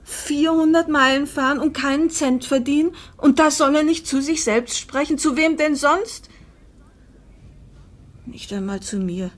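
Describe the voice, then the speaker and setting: A woman's voice: high voice, measured pace. Weary, protective older mother. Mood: bitter, impassioned. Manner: earnest, emphatic, pleading. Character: weary, protective older mother defending her exhausted husband. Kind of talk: 1950s German radio drama acting.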